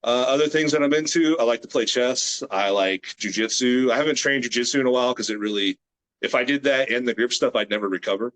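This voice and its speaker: A man in his late thirties, a grip strength athlete from the United States, chatting as a guest on a video call in a relaxed, rambling way with many fillers. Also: medium pitch, quick pace, rough recording. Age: 30s. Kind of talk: casual interview chat.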